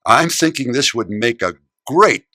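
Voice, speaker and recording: An actor playing a kindly, gently stern older man in a children's radio drama. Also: mid-range voice, lively intonation, no echo, clean studio sound. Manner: measured, theatrical, gently admonishing.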